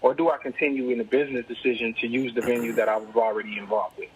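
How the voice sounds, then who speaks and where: A man's voice: medium pitch, flat delivery, fast talker, breathy edge. A man calling in to leave a voicemail, casually explaining a situation and asking for advice. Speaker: caller leaving a voicemail. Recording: dull lo-fi voicemail recording.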